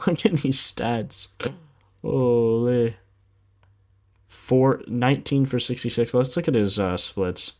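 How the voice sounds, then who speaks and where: Young male sports betting streamer, lower-pitched, informal and slangy, off-the-cuff commentary. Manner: slangy, informal, thinking aloud. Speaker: sports betting livestream host. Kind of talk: casual livestream chat and commentary.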